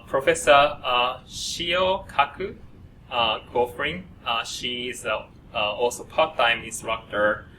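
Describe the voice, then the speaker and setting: A man introducing faculty at a student orientation, speaking haltingly with many pauses and fillers. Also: medium pitch, bright, forceful tone. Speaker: program coordinator at an orientation. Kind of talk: presenting to students. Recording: distant mic with faint noise.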